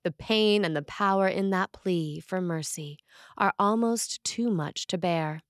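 A woman priest reading a scripted sermon in a measured, earnest way with dramatic emphasis on key phrases. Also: lowish voice, no room sound, clean studio sound.

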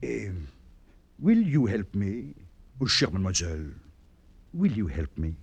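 A man's voice: fairly low pitch, big pitch swings, unhurried, creaky, a little hiss or hum, exaggerated voice. Ardent young Frenchman, swooping voice. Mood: lovesick, pleading. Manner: theatrical, flowery, hesitating. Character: ardent, preening young French lodger and suitor. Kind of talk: 1950s radio drama acting.

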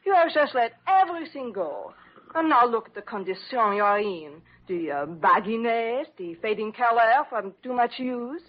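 On a dull old radio recording, a French woman with a fairly high voice. She is earnest and gently critical, pausing for words in accented English. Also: animated. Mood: earnest, concerned. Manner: halting, searching for English words. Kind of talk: classic radio drama acting.

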